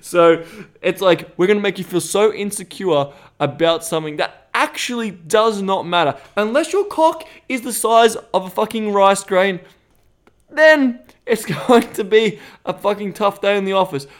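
A man's voice: high voice, moderate pace. An Australian man co-hosting a podcast in casual chat, blunt and swearing freely. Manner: blunt, profane and animated. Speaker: podcast co-host. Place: Thailand.

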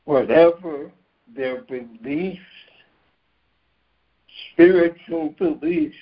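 A man losing his voice gives a reflective spiritual share on a group call, measured and clearing his throat now and then. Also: a little high. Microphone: phone on a conference call. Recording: dull lo-fi conference call line.

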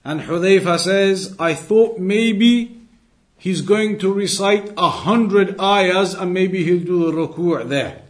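A man giving an Islamic lesson, reading texts aloud and explaining them deliberately, repeating phrases for emphasis. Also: sits high. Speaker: religious teacher.

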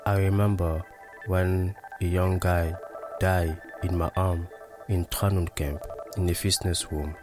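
A man giving scripted testimony in a grave, measured manner. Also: low voice, little pitch movement, music in the background.

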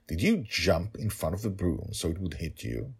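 A man voicing a stern, protective, worried pirate father in an audio story, scolding slowly and emphatically. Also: low voice, animated, gravelly, a little mellow, husky, exaggerated voice.

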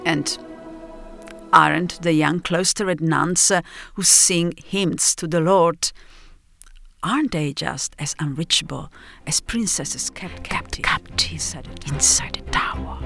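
A woman reading a literary first-person travel story, reflective and dramatic, with pauses between phrases. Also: low-pitched, vocal fry, over music. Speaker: narrator.

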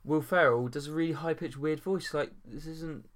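A man's voice: a little high, moderate pace. Laconic, blunt man in casual chat. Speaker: podcast co-host. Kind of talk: casual film review chat.

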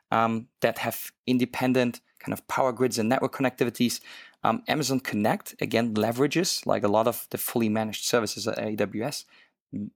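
A man from Luxembourg talks through tech features in an eager, casual way, full of "you know" and small restarts. His voice sits at a middle pitch. The recording is dry and close, with no room sound. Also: no echo. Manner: enthusiastic, many fillers and restarts. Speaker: co-host, developer advocate. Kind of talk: casual tech podcast chat.

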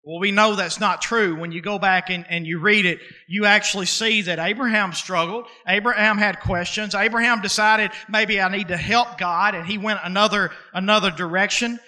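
High, bright-voiced preacher in his thirties. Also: fairly even intonation. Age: 30s. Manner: folksy and conversational, slips into dialect; preaching cadence with drawn-out emphasis. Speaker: pastor. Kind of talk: sermon.

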